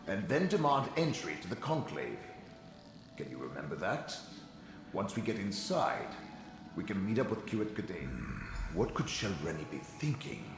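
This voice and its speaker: A man playing an eccentric, self-assured fantasy wizard in a video game, articulate, theatrical and musing, with a heavy ghostly reverb on his voice. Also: lowish voice. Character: eccentric Telvanni wizard, male, self-assured and dryly fussy. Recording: very echoey reverberant hall sound, music behind.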